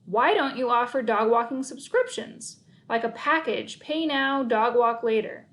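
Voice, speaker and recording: Casting: woman reader plays storybook boy, confident math-whiz schemer, high voice, matter-of-fact and emphatic. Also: lively intonation, measured pace, a bit bright. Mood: explaining.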